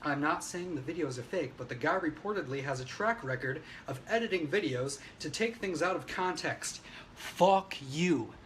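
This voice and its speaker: A man with a rather high voice holds forth on a livestream. He is brash, opinionated and emphatic, swearing often and talking straight to his viewers without a script. There is faint noise in the recording. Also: lively intonation, moderate pace, fairly bright tone. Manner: emphatic, agitated delivery. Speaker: livestreamer giving political commentary. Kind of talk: unscripted ranting livestream, reading viewer comments. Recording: noisy livestream audio.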